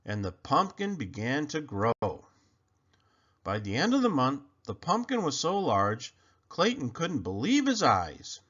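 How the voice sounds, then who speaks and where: A man reading a children's storybook aloud in an expressive, emphatic storytelling manner. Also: mid-range voice, a little slow, highs cut off. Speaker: storybook reader.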